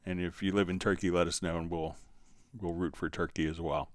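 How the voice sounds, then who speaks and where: A man hosting a casual live music software tutorial, answering viewer questions in a halting, thinking-aloud way. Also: low-pitched, level tone, dry recording.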